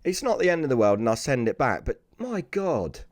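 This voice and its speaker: A British man with a hoarse, raspy voice that sounds worn out, hosting a casual sports card unboxing video. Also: mid-range voice, sing-song, a little fast, no echo. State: hoarse, lost voice from screaming the night before.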